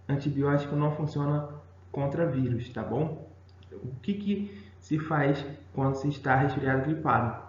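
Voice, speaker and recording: A Brazilian man teaching a recorded science lesson to school students, explaining patiently and checking in often with tag questions. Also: a little high. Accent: Brazilian Portuguese. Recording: echoey room, dull lo-fi sound.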